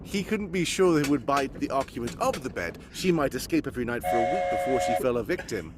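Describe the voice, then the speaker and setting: A man's voice: medium pitch, animated, quick pace, close to an everyday voice. A man playing a brilliant, self-assured, commanding male detective in a live radio drama, theatrical and pompous in delivery. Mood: self-satisfied explaining. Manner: theatrical, bossy, pompous monologuing. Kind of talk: live cold-read recreation of 1940s radio drama. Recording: live stage recording with clear background noise.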